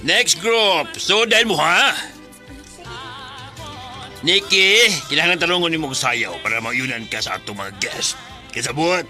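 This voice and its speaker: A very sharp, bright voice of a bossy nightclub madam, acted by a man, giving brisk orders in a Filipino radio drama. Music plays behind the voice. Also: on the high side, animated, exaggerated voice. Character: bossy club madam ordering dancers around.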